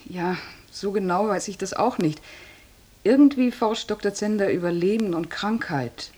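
A woman's voice: medium pitch, normal speed, dark tone, natural voice. An actress in a radio drama playing a cheery hospital nurse who mothers and coaxes her patient. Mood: cheery, coaxing. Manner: coaxing, kindly patronising.

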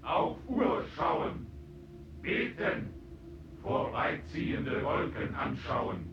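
An actor playing a driven, dutiful soldier in a declamatory radio drama, speaking in emphatic, fragmented phrases. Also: male voice, fairly high pitch, huge pitch swings, extremely slow, exaggerated voice. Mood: coaxing. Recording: distant, echoey, muffled, noisy radio production.

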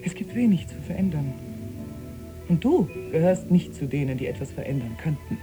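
Dry, cutting wife, lowish voice. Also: female voice, expressive, moderate pace, over music. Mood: cool, reproachful. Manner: dry, reproachful. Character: sober, cutting wife, resigned yet resentful. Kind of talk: German radio play acting.

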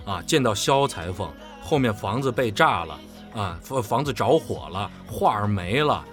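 A man's voice: fairly low pitch, lively intonation, normal speed, over music. A man giving emphatic, casual explanations as a panelist discussing characters in an audio drama. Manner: emphatic, storytelling with repeated phrases.

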